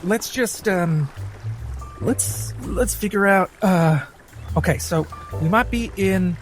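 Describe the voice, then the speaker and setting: Man as a hesitant, higher-voiced adventurer. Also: takes it slowly, faint music behind. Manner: hesitant, terse, casual. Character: laid-back, confused adventurer just back from unconsciousness. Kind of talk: improvised in-character tabletop roleplay.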